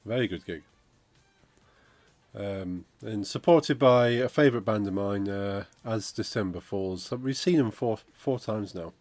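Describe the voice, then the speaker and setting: A man, croaky from a cold, chatting casually on a game dev stream and reading out chat. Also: on the low side, unhurried, a little mellow, no room sound. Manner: rambling, chatty, reads out chat messages. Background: funky music playing.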